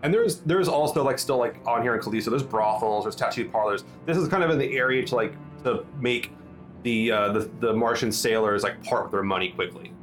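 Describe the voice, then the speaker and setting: A man's voice: mid-range voice, brisk, faint music behind. A man narrating as game master in casual, filler-heavy talk. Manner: casual, hesitant, lots of fillers.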